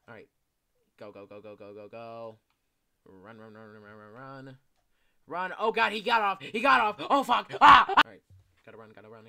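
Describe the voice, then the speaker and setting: A young man giving casual, excitable commentary while playing a video game, swearing often and yelling when chased. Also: medium pitch. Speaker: gameplay commentator. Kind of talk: casual live gameplay commentary.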